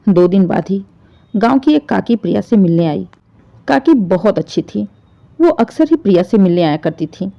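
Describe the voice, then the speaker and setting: A woman's voice: medium pitch, gravelly, muffled recording. A woman narrating an emotional family story in a storyteller's style.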